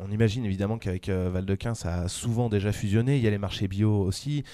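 A man's voice: on the low side, fast talker, mellow, no echo. A man hosting a radio interview, asking friendly, prompting questions with fillers. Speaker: radio host.

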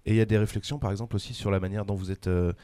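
A man's voice: on the low side, fairly even intonation, very fast, dark tone, smooth, no room sound. A man hosting a radio interview, asking friendly, prompting questions with fillers. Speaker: radio host.

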